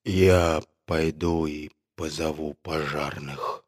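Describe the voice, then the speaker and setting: A man's voice: very deep voice, steady tone, voice pushed down, heavily acted. A man voicing a kind, brave old doctor in a storybook reading, speaking weakly. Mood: faint. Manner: weak, hushed and slow.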